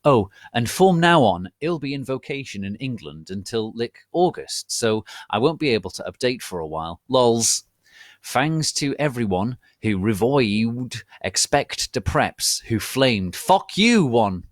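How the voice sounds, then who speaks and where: Man as a bratty, raised-pitch teenage girl author. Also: very animated, a little slow, no room sound, clean studio sound. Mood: bratty, scornful. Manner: bratty, emphatic, reading text-speak aloud. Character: petulant, brash teenage girl author ranting at readers.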